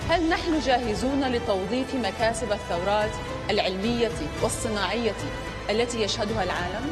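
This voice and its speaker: A woman making a speech built on rapid rhetorical questions. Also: fairly high pitch, over music.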